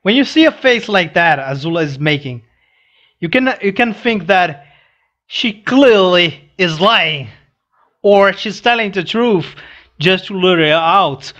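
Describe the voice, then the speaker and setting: A young adult man giving casual read-along commentary, halting and restarting his phrases as he goes. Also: sits high, expressive, fairly bright tone, lo-fi sound. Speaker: reaction video host. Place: small room indoors. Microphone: headset boom mic.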